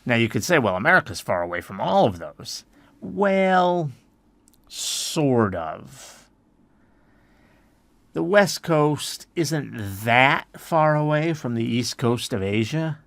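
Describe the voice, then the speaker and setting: A man from the United States hosting an educational podcast, explaining things in a relaxed way with many "I mean"s and restarts. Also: medium pitch, slow pace, vocal fry, dry recording. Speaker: podcast host.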